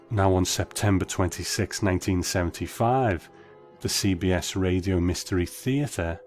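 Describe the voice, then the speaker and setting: A man hosting a solo podcast with measured, reflective, conversational commentary. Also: low-pitched, a little mellow. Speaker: podcast host. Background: faint music.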